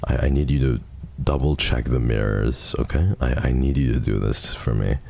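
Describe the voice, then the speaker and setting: Very deep, gravelly male streamer. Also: normal speed, a little mellow. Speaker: livestream host. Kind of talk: casual livestream chat, reading and answering viewer messages. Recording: faint background noise.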